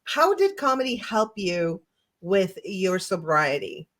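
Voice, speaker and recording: A woman's voice: on the low side, sing-song, slow pace, a bit bright. A woman comedian whose first language is Spanish, hosting a casual chat, laughing and encouraging her guest with slangy remarks. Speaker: host comedian.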